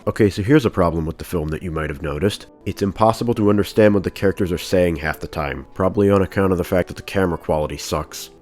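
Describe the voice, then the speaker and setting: A man reviewing a film in voice-over, with a dry, monotone, deadpan delivery. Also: low-pitched, fast talker, hardly any pauses, faint music behind.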